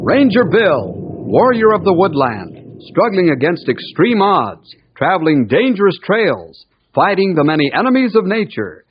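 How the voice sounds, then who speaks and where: Male old-time radio announcer, fairly high, very expressive, declamatory, muffled vintage sound. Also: measured pace, slight background noise. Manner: emphatic, declamatory. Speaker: announcer and narrator.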